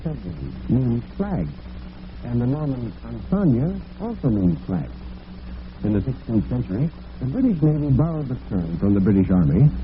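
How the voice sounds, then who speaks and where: A man announcing in a formal, didactic mid-century radio style from a script. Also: medium pitch. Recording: noisy, narrow-band vintage radio recording.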